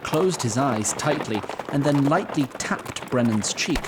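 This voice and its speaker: A man's voice: mid-range voice, animated. Male fantasy narrator over noisy ambience. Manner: deliberate, literary, wry. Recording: clear background noise and ambience.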